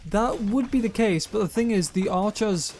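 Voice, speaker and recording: A young man with a self-described monotone voice, rambling through gameplay commentary and viewer comments, often correcting himself mid-sentence. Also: high voice, moderate pace. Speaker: gaming YouTuber.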